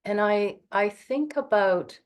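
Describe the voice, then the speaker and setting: A woman guiding a meditation, speaking in a calm, gentle and soothing way with long pauses. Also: mid-range voice. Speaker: meditation guide. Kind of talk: guided meditation.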